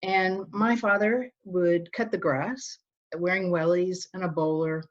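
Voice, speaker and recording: A woman's voice: on the low side, fairly even intonation, a little slow. Earnest storytelling woman, muffled sound. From Northern Ireland. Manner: earnest, storytelling, many restarts. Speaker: guest. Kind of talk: conversational interview. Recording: dull, lo-fi.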